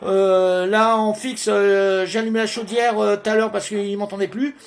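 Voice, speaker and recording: Very high-pitched man on amateur radio. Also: level tone, normal speed. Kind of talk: casual radio contact chat.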